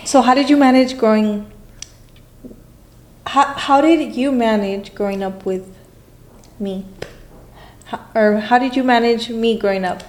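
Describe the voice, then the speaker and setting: A woman's voice: a little high, a little slow, pauses often. A woman in her twenties hosting a casual podcast in a bubbly, informal, teasing way. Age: 20s. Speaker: podcast host.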